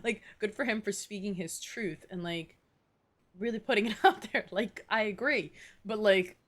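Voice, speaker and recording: A young adult woman giving casual live game commentary in a slangy, expressive, giggly way. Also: medium pitch, normal speed. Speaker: game streamer.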